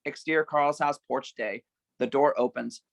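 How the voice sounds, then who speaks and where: Gravelly man narrating stage directions. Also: medium pitch, steady tone, moderate pace, no room sound. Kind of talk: scripted narration, table read.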